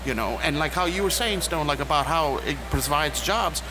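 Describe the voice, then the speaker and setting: A man talking as a guest on a podcast in a cigar lounge, joking and rallying listeners in an animated way. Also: fairly high pitch, moderate pace. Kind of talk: casual roundtable chat. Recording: noisy with passing traffic.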